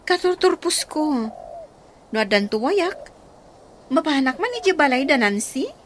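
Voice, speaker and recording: A friendly young teacher in a radio drama. Her voice is very high, with faint noise behind it. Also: very animated, measured pace. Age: young adult. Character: friendly young woman, newly graduated volunteer teacher. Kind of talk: Ilocano radio drama acting.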